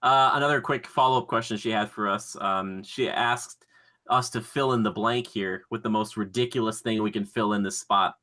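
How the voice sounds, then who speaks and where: A man's voice: lowish voice, steady tone, moderate pace, bright, forceful tone. A man hosting a podcast in a casual, chatty, joking style. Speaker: podcast host.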